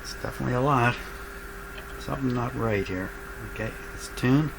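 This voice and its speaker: A man narrating his workbench repair casually, thinking aloud in a halting, musing way. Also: mid-range voice, creaky. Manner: musing, halting, talking to himself. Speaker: radio repair hobbyist, host of a workshop show. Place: small indoor workshop crowded with electronic test gear. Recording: loud background hum and static noise.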